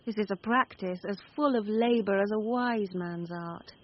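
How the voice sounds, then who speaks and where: A woman playing a young woman disguised as a quick-witted page in Shakespearean radio drama, poised and articulate. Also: medium pitch, close to an everyday voice. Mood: reflective. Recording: dull radio recording, faint noise.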